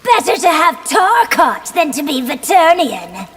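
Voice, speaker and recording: Game warrior empress: hot-headed, vehement, swooping pitch, music behind. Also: female voice, big pitch swings, unhurried. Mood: furious. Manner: snarling, vehement. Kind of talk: video game character dialogue. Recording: long reverb, music behind.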